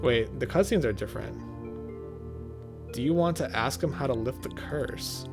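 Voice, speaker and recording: Male gaming host, casual playthrough commentary, mildly sardonic, mid-pitched voice, music in the background. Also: moderate pace.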